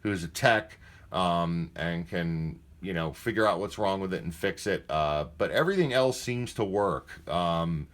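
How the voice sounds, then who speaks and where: A man's voice: low voice, measured pace, a bit bright. A man giving a casual, unscripted product demonstration in a plain-spoken, candid and somewhat hesitant way. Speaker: presenter of a used tape deck demonstration. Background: music playing from the tape deck at times.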